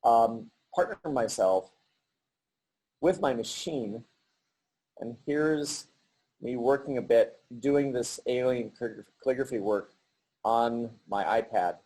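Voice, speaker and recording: A middle-aged man giving a halting virtual artist talk over a video call, with many pauses and restarts. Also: mid-range voice, warm tone, low-quality recording. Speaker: artist presenting his work.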